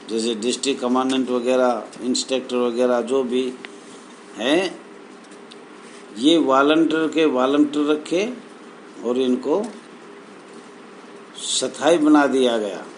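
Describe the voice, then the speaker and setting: A man with a mid-pitched voice reads official documents aloud and explains them to his listeners. His delivery is very slow and halting, broken into short phrases. A light layer of ambient noise sits behind him. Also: poor audio. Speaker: presenter explaining rules to fellow members. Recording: noticeable background noise.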